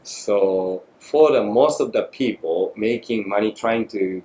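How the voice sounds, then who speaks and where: Japanese man, forties, podcast guest, halting and reflective, echoey studio. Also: on the low side, dark tone. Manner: thoughtful, halting, many fillers. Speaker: guest photographer. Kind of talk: podcast interview. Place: small studio room. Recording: echoey room, faint noise.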